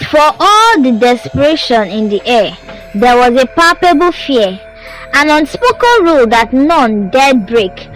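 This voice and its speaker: A woman's voice: sits high, fairly bright tone, low-quality recording. A woman narrating a scripted folktale as a storyteller, with a dramatic, suspenseful delivery. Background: music under the voice.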